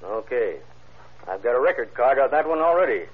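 Husky, exacting male ballistics expert. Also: mid-range voice, big pitch swings, brisk. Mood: absorbed. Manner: careful, noncommittal. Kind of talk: 1940s-50s radio crime drama acting. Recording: dull vintage radio recording.

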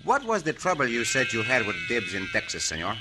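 An actor playing a gallant, calm cowboy hero in an old-time radio western, courteous and firm. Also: male voice, mid-range voice, fairly quick, loud music behind the voice. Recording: noisy old radio show recording.

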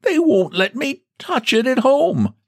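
A man's voice: very high voice, big pitch swings, measured pace, gravelly, slightly rough, voice pushed up, exaggerated voice. A man voicing a frail elderly man who talks in a thin, piping, quavering way. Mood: wondering.

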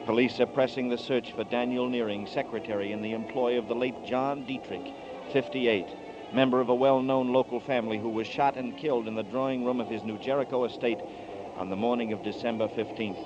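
A man's voice: steady tone, brisk, lightly acted. An actor narrating in first person as a haunted man on the run, in 1940s radio drama style. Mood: brooding. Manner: low, brooding narration. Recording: dull 1940s radio broadcast with music.

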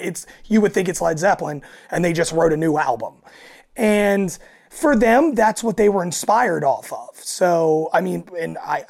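Raspy young male musician from Delaware, animated guest who stumbles and restarts. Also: on the high side. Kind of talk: casual interview chat.

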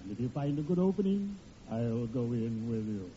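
Man playing a genteel, animated, ghoulishly cheerful undertaker. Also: mid-range voice, normal speed, close to an everyday voice. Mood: genially morbid. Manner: formal, genteel phrasing with dry macabre wordplay. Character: friendly, morbidly punning male undertaker, unflappably polite. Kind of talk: old-time radio comedy acting. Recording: muffled, very noisy vintage radio recording.

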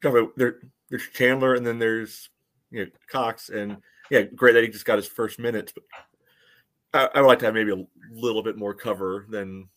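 A man co-hosting a sports podcast, giving his views on soccer in a measured, matter-of-fact, conversational way. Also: fairly low pitch, fairly even intonation, a bit bright. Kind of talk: casual soccer chat.

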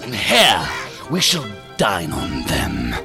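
An actor playing a flamboyant, deranged self-styled captain who declaims in florid, volatile style in a science fiction audio drama. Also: male voice, huge pitch swings, slow, exaggerated voice. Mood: manic, vengeful. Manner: florid, declamatory, swinging between whisper-low and shouting. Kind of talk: theatrical monologue in sci-fi audio drama. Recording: music behind the voice.

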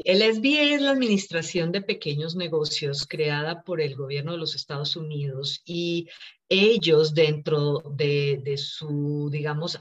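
A woman's voice: sits low, dull audio. A woman, a business consultant answering interview questions earnestly, laughing easily. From Colombia. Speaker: small business consultant, guest.

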